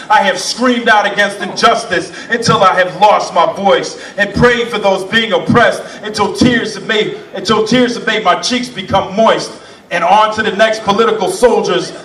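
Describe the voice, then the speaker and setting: High-voiced impassioned spoken word poet, echoey. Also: male voice, a bit bright, far from the mic. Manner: rhythmic, impassioned, rhyming delivery. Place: performance venue. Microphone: stage mic, heard from a distance.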